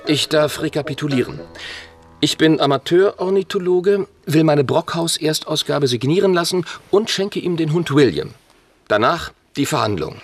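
An actor playing a dry, dutiful, easily flustered English assistant in a radio play, speaking stiffly and slipping into English. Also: male voice, fairly high pitch, animated, moderate pace. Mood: formal. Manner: itemising, stiff, slips into English. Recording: music behind the voice.

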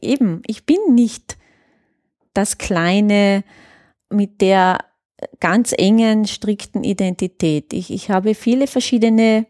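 A woman's voice: medium pitch, takes it slowly, a little mellow, dry recording, clean studio sound. A woman explains things thoughtfully in a conversational interview, often searching for words. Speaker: interview guest, youth worker.

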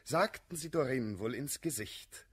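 An actor playing a moderate, worldly friend in a classical German radio play, reasoning in measured, ironic rhymed verse. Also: male voice, medium pitch, animated, fairly bright tone, husky. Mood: teasing, admonishing.

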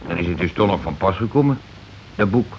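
Gruff low-voiced grumbling husband. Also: male voice, expressive, a little fast. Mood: irritable. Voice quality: coarse colloquial speech. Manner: nagging, swearing, impatient. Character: gruff, grumbling working-class husband, bossy and irritable. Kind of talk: naturalistic radio play acting. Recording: dull, noisy old radio recording.

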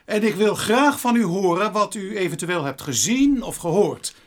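A man playing a weary, brisk, dryly sardonic police inspector in a radio drama. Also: high voice, animated. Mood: formal, projecting. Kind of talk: Dutch radio drama acting.

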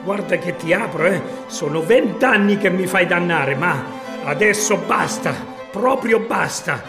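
An actor in a radio play playing an exasperated Italian caretaker, muttering and cursing theatrically. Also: male voice, high voice, moderate pace, over music. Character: grumbling, exasperated Italian caretaker wrestling with a window. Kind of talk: German radio play acting.

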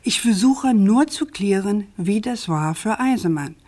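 An actress playing an earnest, persistent journalist in a radio crime drama, speaking articulately and insistently with moral indignation. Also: female voice, mid-range voice, animated, a little slow, a little mellow. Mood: quiet, earnest.

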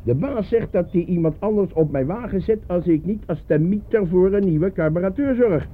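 A man's voice: high voice, animated, moderate pace. A man playing a chatty, grumbling, resigned working-class taxi driver in a radio play. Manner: garrulous, colloquial, shrugging. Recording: narrow-band old radio play, faint noise.

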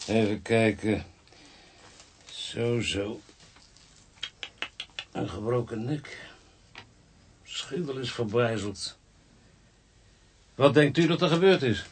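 An actor playing a grumbling, sardonic doctor in a radio drama, with a husky voice and a dry manner. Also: male voice, medium pitch, takes it slowly, pauses often, slight background noise. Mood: dry, businesslike. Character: grumbling sardonic male doctor examining bodies.

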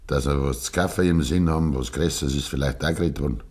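An actor playing a stubborn, grieving old farmer with a Bavarian accent and a gruff, deliberate manner, in a 1950s German dialect radio play. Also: male voice, a bass voice, darkish tone. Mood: guarded, dismissive. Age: older. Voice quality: gravelly, husky.

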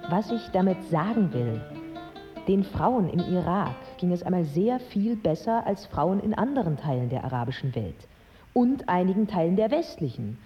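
Young iraqi woman telling her story in a radio play, low earnest voice, music behind, bit dull sound. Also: sing-song, normal speed. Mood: proud. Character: young Iraqi woman from Baghdad, educated, candid, resolute. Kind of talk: first-person radio drama narration.

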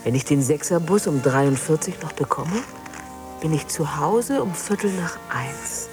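A woman's voice: low voice, expressive, over music. An actress playing a lonely spinster in her forties, murmuring terse fragments to herself in a radio drama. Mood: absorbed, dreamy. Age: 40s. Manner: halting, terse fragments, murmured self-talk. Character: lonely spinster of forty-four, brooding over small routines. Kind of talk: radio drama interior monologue.

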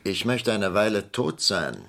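An actor playing a sly, hesitant Greek businessman in a vintage German crime radio play, speaking in a halting, theatrical way. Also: fairly low pitch, expressive, gravelly, a bit bright, exaggerated voice. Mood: deliberate, sly.

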